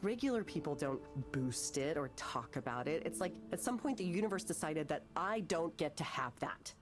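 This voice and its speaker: An actress voicing an anguished, bitter, self-doubting woman artist in a naturalistic visual novel, speaking haltingly and emotionally. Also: sits low, expressive, music in the background. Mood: frustrated, bitter. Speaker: counselling patient.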